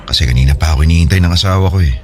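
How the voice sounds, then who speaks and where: A man's voice: very deep voice, rapid-fire, darkish tone, soft background music. An actor with a Filipino accent playing a troubled, hesitant married man in a conversational radio drama style. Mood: troubled, confiding.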